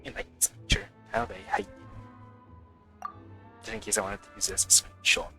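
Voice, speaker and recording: A man's voice: moderate pace, sharp tone, faint music behind. A man doing casual game-stream commentary, reading along and tossing in wry, joking asides. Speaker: livestreamer.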